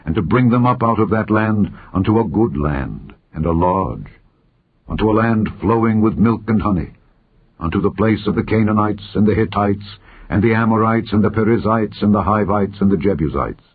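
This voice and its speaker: A man's voice: on the low side, animated, moderate pace, slightly rough. A man reading the part of a majestic, commanding yet compassionate deity in a grand, declamatory scripture style. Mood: solemn compassion. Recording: muffled phone-like narrow-band audio.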